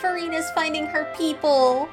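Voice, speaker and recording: Female game streamer, slightly high voice, casual and sympathetic, loud music underneath. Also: moderate pace. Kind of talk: casual playthrough commentary.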